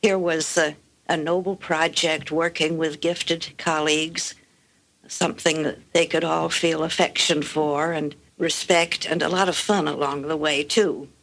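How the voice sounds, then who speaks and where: A woman's voice: low voice, steady tone, unhurried, a bit bright, poor audio. An older woman, a guest speaker, giving a prepared personal reminiscence.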